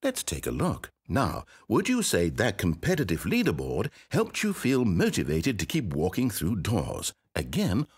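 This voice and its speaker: A man's voice: on the low side, wildly swooping pitch, measured pace, hardly any pauses, slightly rough, exaggerated voice. A man playing a fussy, controlling video game narrator who talks in a dry, sarcastic, mock-patient way. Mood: wry.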